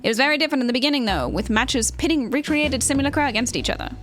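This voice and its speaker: A woman's voice: sits high, rapid-fire, a bit bright, soft background music. A woman reading out game dialogue as a polite, theatrical arena promoter and commentator, formal and showy. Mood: pleased, explaining.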